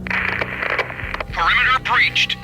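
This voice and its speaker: A man's voice: extremely high pitch, extremely slow, music in the background, exaggerated voice, poor audio. A male actor playing a clipped military mercenary giving procedural radio calls over a walkie-talkie. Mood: urgent, official.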